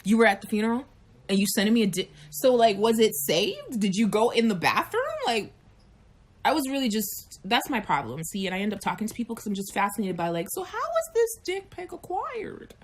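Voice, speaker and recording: A woman in her late thirties chatting frankly and animatedly on a live chat show, telling stories and acting out quick voices. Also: a little high, sing-song. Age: 30s. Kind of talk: candid casual chat.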